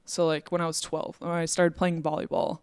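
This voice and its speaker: A young woman from Minnesota speaks with a smooth, clear voice in a medium register, telling stories animatedly. She is close to the mic in a dry room. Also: low voice, a little fast, no room sound. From Minnesota, USA. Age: 20s. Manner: animated storyteller, laughs easily. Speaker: illustrator guest. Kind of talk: casual conversational interview.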